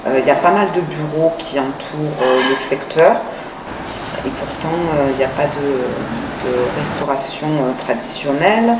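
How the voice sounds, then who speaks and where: Low-voiced woman, echoey and noisy recording. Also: moderate pace. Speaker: restaurant owner. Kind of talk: on-camera interview, explaining her project. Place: restaurant terrace, dining room and working kitchen. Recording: distant, echoey, loud background noise.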